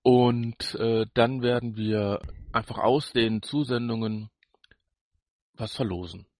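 A man's voice: medium pitch, fairly even intonation, slow, a little mellow, no room sound. Man bantering casually as podcast co-host, dry sound.

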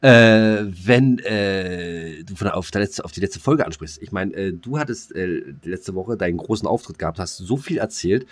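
A man's voice: low voice, lively intonation, moderate pace, hardly any pauses. A man co-hosting a podcast, chatting casually with slangy, mock-scolding banter. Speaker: podcast co-host. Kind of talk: casual chat between two friends.

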